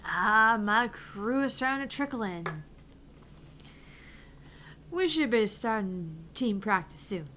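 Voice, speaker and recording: Woman playing a brash, animated arcade streamer gal. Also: a little high, takes it slowly, long pauses, slight background noise, exaggerated voice. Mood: brash, cocky. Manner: taunting, theatrical, emphatic. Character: brash, cocky, foul-mouthed woman arcade game streamer. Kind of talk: visual novel read aloud in character.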